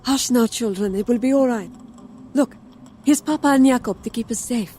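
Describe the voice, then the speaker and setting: Dust Bowl mother, played by a woman: worried yet soothing, higher-pitched, mellow, in audio drama over noisy ambience. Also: normal speed, natural voice.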